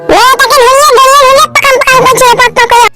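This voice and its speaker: A performer voicing a cheeky, foul-talking little boy in a comedy cartoon, with a pitched-up voice. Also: little pitch movement, rapid-fire, over loud music.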